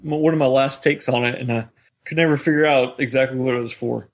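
A man's voice: medium pitch, steady tone, a little fast. A man co-hosting a podcast in a casual, conversational way. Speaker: podcast co-host. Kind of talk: casual chat.

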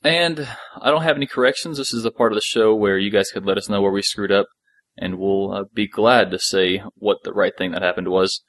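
Low-voiced man chatting on a podcast. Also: fairly quick, fairly bright tone. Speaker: podcast co-host. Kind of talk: casual chat about an audio drama.

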